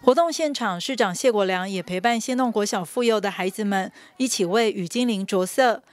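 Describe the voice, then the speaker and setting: A woman's voice: medium pitch, a little fast, no echo, a faint crowd. A woman with a Taiwanese Mandarin accent narrating a scripted news report as a reporter.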